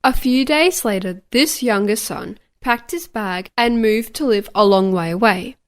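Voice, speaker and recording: A woman narrates a children's Bible story from a script. Her voice sits at an average female pitch and she tells it simply, line by line. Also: a little slow.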